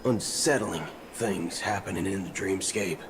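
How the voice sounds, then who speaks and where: A man's voice: low-pitched, unhurried, noisy background. A man playing a brash, impatient young traveler in a video game, informal and slangy, shifting between chatty and muttering. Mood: chatty, probing. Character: brash young male traveler fishing for clues, casual and impatient. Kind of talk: animated video game voice acting. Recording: loud game music underneath.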